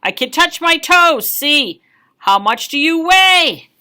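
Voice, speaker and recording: A woman's voice: high voice, big pitch swings, slow, bright, forceful tone, voice pushed up, exaggerated voice. A woman doing a squirmy, whiny little kid. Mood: restless. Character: restless chatty little child at story time.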